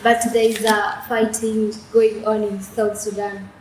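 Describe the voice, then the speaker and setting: A woman's voice: mid-range voice, flat delivery, measured pace, a little mellow. A young woman hosting a talk show, asking questions with frequent repeated words and restarts. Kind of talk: interviewing. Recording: echoey room with faint noise.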